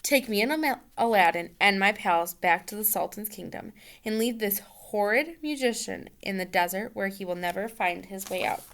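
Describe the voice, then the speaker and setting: A woman's voice: medium pitch, animated, normal speed, hardly any pauses, a bit bright. A woman voicing a young, sweetly cunning storybook princess who is coaxing and assertive. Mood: commanding.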